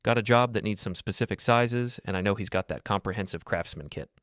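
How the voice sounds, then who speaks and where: A man narrating a story and speaking as a calm betrayed husband, a mechanic who hides what he knows. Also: on the low side, a little fast, dry recording, studio quality, close to an everyday voice. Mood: feigned casual calm.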